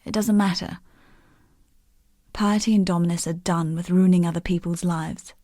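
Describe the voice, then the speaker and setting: An actress playing a devoted, grieving young woman in a fantasy video game, earnest and pleading, with hushed, halting delivery. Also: lowish voice, steady tone, close to an everyday voice. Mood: sorrowful, gentle. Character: grieving, obsessively devoted young woman seeking resurrection of lover.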